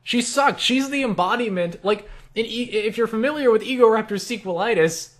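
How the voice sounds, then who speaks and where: Young male gaming vlogger, slightly high register, off-the-cuff, profane, exasperated ranter. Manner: profane, exasperated ranting, halting and repetitive. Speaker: gaming video creator answering questions. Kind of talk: casual, rambling opinionated chat.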